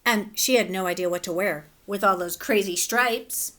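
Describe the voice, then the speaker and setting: A woman teacher reading a children's picture book aloud, relaxed and gently instructive. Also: mid-range voice, moderate pace.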